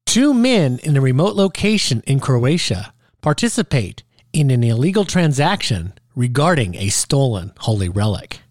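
A male announcer delivers scripted narration to introduce an audio play. His pitch rises and falls in a lively way, and the sound is close and dry. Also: moderate pace, no room sound.

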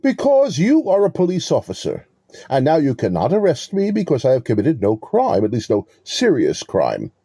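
A sly male swindler in a mystery audio play, acted by a man. His voice is very dark and husky, and it swings freely in pitch. He speaks with measured, formal condescension, as if always holding something back. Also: wildly swooping pitch, dry recording, clean studio sound, heavily acted. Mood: smug, scornful. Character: wily, devout-acting male relic con artist.

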